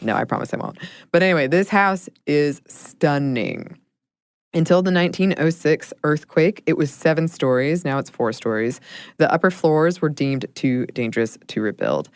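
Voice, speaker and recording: A woman with a low voice co-hosts a podcast, chatting casually and laughing often. Her voice sometimes sinks into a quiet murmur. The recording is dry and close, with no room sound. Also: animated, normal speed, dry recording. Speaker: podcast co-host.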